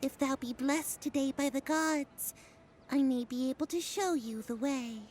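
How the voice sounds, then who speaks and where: A woman voicing a theatrical, mystical fortune teller in a video game, with a grandiose, archaic, drawn-out delivery. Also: high-pitched, darkish tone, slight background noise. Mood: mystical, portentous.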